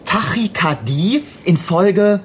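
A man's voice: high voice, animated, slow pace. Sneering male criminal, noisy background. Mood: mocking, menacing. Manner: sneering, sarcastic, colloquial. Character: menacing, mocking male criminal, crude and taunting. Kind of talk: radio drama acting.

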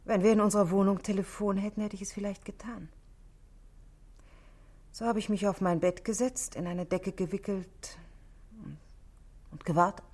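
An actress playing a cool, controlled married woman under questioning in a 1960s German radio play, speaking in a measured, precise, matter-of-fact way. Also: mid-range voice, fairly even intonation, darkish tone, lightly acted.

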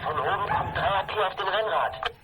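High-voiced boy lookout, acted by a male amid noise. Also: normal speed, close to an everyday voice. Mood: excited. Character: eager boy lookout reporting by walkie-talkie. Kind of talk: children's radio play acting.

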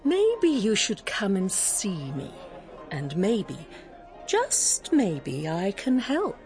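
Wry, worldly woman singer, expressive pitch. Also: drawn-out words, soft background music, heavily acted. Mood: encouraging. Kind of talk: radio drama acting.

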